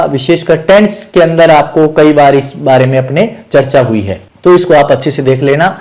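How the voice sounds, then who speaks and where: An Indian man with a slightly high voice gives a grammar lesson. He is methodical and instructive, restating each key point and dropping English terms into his explanation. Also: a little mellow.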